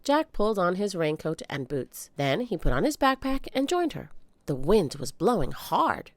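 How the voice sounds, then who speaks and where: A woman reading a children's book aloud as an animated storyteller, with dramatic emphasis. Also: lowish voice, normal speed, no echo. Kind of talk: expressive read-aloud storytelling.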